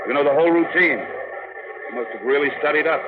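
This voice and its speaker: An actor playing a tough, brisk rocket pilot with an American accent and terse, colloquial talk, in 1950s radio drama style. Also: male voice, fairly high pitch, lightly acted. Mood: concerned, steady. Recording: tinny, noisy 1950s radio recording.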